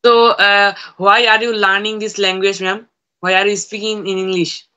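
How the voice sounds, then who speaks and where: Hesitant teenage boy, bright flat voice. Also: high voice, moderate pace, smooth. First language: Bangla. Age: teens. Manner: hesitant, many fillers and restarts. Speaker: student learner. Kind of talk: English conversation practice on a video call. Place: room at home. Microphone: phone on a video call.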